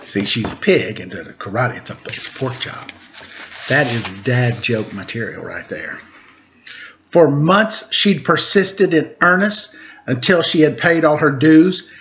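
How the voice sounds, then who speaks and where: A man's voice: mid-range voice, unhurried, fairly bright tone, a little hiss or hum. A middle-aged man chatting casually and reading stories aloud to children in a playful, jokey manner. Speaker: storytime host reading picture books. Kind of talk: casual chat and read-aloud storytelling to children. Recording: dull, lo-fi sound.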